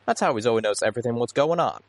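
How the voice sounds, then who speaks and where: A man's voice: mid-range voice, lively intonation, rapid-fire, dry recording, lightly acted. A man voicing opinionated tavern ponies trading gossip and squabbling in a story. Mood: eager bickering.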